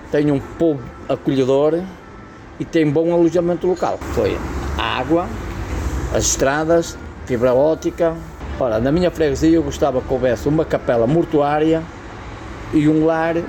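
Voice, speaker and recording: A man speaks slowly and simply, listing things one by one. He is recorded outdoors with clear street and vehicle noise around him. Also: a little high. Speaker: interviewed local resident. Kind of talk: street interview.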